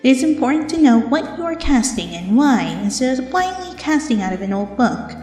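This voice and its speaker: Lady doing a earnest nerdy girl pony, high lively voice, kinda prim, cartoon style. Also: brisk, long reverb tail, soft background music. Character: earnest, bookish young female cartoon pony.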